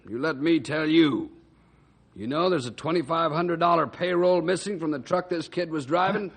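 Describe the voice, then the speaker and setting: A stern American lawman in an old western radio drama. He is cynical and blunt, always accusing, with a voice pitched a little above average. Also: normal speed.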